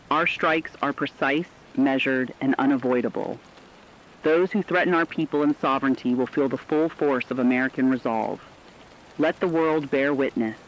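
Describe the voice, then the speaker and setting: Male actor as solemn radio war reporter, medium pitch, emphatic scripted read, muffled lo-fi broadcast. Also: slight background noise, lightly acted. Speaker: news correspondent. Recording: dull lo-fi radio sound.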